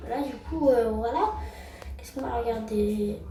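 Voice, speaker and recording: A woman's voice: fairly high pitch, normal speed, heavy background noise. A woman giving casual, blunt and mocking reaction commentary in slang as she hosts a video. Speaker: video host reacting to clips. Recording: roomy, distant mic, music behind.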